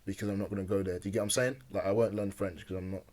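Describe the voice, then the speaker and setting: A young man co-hosting a podcast in casual chat, easygoing and hesitant, on a boom-arm mic in a small home room. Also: steady tone, rapid-fire, darkish tone. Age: young adult. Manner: easygoing, agreeable, hesitant with many ums. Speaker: podcast co-host. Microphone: studio mic on boom arm.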